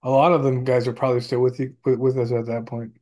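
Man, medium pitch, agreeable, folksy, casual conversational host. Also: level tone, fast, a little mellow. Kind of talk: casual chat.

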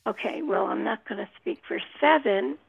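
A woman chatting casually in a creaky, husky voice over a thin phone-like line. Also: medium pitch, lively intonation, moderate pace. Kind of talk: casual chat.

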